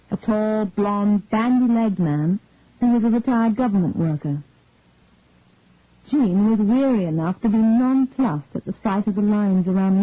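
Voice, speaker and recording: A woman's voice: medium pitch, dry recording. A woman reading a story aloud as scripted narration, like an audiobook reader. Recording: narrowband, tinny phone-like sound.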